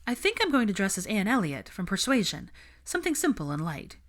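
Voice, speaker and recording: A woman's voice: mid-range voice, very animated, brisk, close to an everyday voice. A woman voicing a brash, outspoken young married woman in a storybook reading, blunt and teasing, with emphatic delivery. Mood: chatty.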